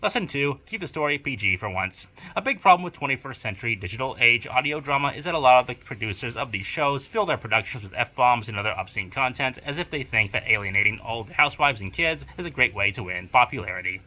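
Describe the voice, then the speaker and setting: A man's voice: medium pitch, expressive, fast, few pauses, pushed and bright. A young man reading a scripted opinion essay as narrator, in a formal, emphatic, bookish way. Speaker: video essayist and audio drama producer.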